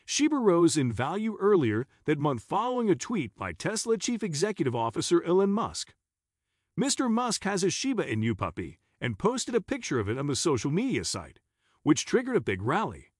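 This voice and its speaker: A man with a fairly high voice narrates a scripted explainer. His pitch swings up and down in a very animated way. The tone is husky, and the recording is close and dry. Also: no room sound.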